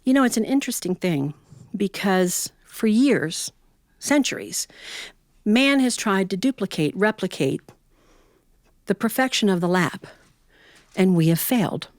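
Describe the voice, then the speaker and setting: A woman's voice: medium pitch, dry recording. American woman storyteller, close dry recording. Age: middle-aged or older. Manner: expressive, with unhurried dramatic phrasing and conversational asides. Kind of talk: live personal storytelling, reflective and folksy.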